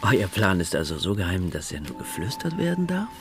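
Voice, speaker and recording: An actor playing a charming, teasing rogue in a pirate-era radio drama, with a theatrical, halting delivery. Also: male voice, low-pitched, huge pitch swings, music in the background. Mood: curious, wry. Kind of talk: German radio drama acting.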